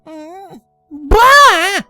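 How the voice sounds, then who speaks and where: A man voicing a stubborn, excitable winged baby girl pony in a story, with babyish one-syllable shouts. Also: very high voice, extremely slow, no echo, soft background music, pitched above the performer's own voice, heavily acted. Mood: demanding shouting.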